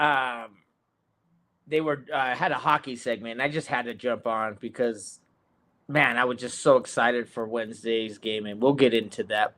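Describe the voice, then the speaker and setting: A man's voice: mid-range voice, a bit bright. A man in his forties hosting a casual sports podcast over a video call, rambling and stuffed with ums and uhs. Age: 40s. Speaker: podcast host.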